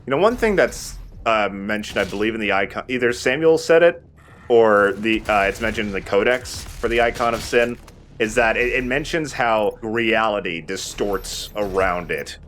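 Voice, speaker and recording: A man's voice: fairly low pitch, normal speed, a bit bright, slight background noise. A man giving casual commentary as he plays a shooter game, as host of a let's play.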